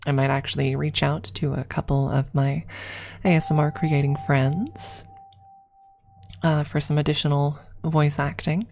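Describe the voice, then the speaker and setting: A woman with a low-pitched voice talks softly and casually to her livestream audience in an ASMR style. She takes her time, with a noticeable gravelly fry, and rambles along with giggly enthusiasm. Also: level tone, measured pace. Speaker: streamer hosting an ASMR livestream. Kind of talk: casual chat to livestream viewers; soft ASMR yapping.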